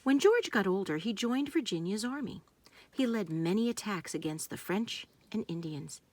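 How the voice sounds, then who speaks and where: A woman narrating a children's storybook, reading aloud in simple, deliberate phrases meant for young listeners. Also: mid-range voice, expressive, darkish tone.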